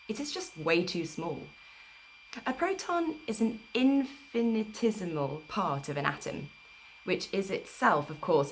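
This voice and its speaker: A woman reading a book aloud in a measured, deliberate storyteller's delivery on an amateur livestream recording. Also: lowish voice, slight background noise, low-quality recording. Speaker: storyteller reading an audiobook live. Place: informal livestream, not a studio.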